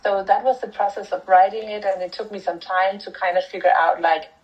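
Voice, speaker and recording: A woman's voice: mid-range voice, fairly even intonation, brisk, highs cut off. Reflective Danish-accented woman author. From Denmark. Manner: reflective, with frequent fillers and restarts. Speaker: author and anthropologist. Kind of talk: thoughtful interview answers.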